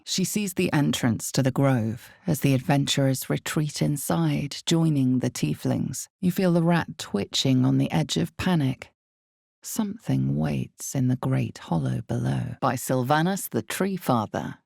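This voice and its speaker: A woman playing an ominous, all-knowing narrator of a fantasy video game, speaking in measured, deliberate, dramatic storytelling. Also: rumbling low, creaky, mellow, no room sound, clean studio sound, full character voice. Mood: grave, intense.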